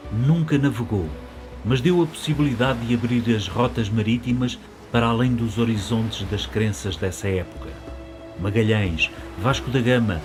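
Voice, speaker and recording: A middle-aged man from Portugal narrating a documentary in the first person, in a reflective, nostalgic manner. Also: fairly low pitch. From Portugal, later living in France.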